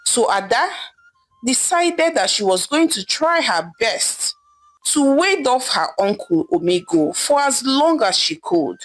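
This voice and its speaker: A woman narrating a scripted folktale in a dramatic, expressive storytelling manner. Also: fairly high pitch, low-quality recording. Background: background music.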